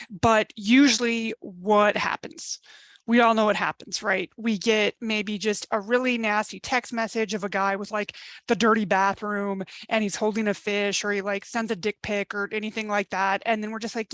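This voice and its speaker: A woman's voice: medium pitch, fairly even intonation, moderate pace, fairly bright tone, no room sound. A middle-aged woman dating coach giving a live webinar masterclass, talking in a chatty, emphatic way and acting out her listeners' complaints.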